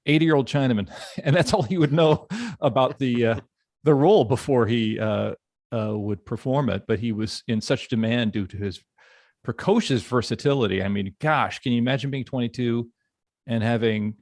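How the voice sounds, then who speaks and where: A man with an average-pitched voice chats on a podcast. His delivery is halting and wordy, with a jokey, casual tone. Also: a little mellow. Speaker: podcast panelist.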